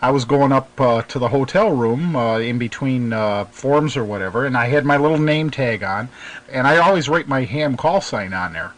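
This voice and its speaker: Male radio host, mid-pitched, conversational and agreeable, swapping casual stories. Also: expressive, moderate pace. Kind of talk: casual chat, telling anecdotes.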